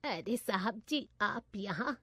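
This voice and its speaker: A woman acts out a poor older female food vendor in a moral story. She is humble and gentle, speaking plaintively and softly deferential, in a dry recording. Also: medium pitch, lively intonation, slow, husky, no room sound, full character voice.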